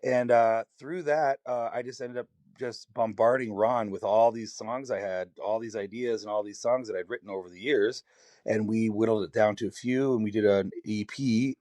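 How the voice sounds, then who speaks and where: Raspy canadian guy, lowish voice, rambles and restarts a lot, dry close sound. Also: steady tone, normal speed, a little mellow, dry recording. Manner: candid, rambling storytelling with many restarts. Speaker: singer-songwriter guest. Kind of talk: podcast conversation.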